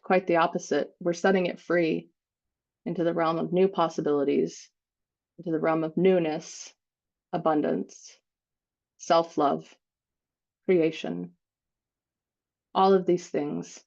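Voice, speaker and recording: Female meditation guide, very slow delivery, slightly low register, dull lo-fi recording. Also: creaky, a little mellow. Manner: slow, unhurried phrasing with long pauses. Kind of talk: guided meditation, spiritual visualization.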